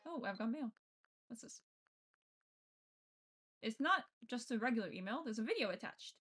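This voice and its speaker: Expressive woman streamer, fairly high voice. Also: moderate pace, slightly rough, soft background music. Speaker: game streamer. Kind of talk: reading a visual novel aloud with casual commentary.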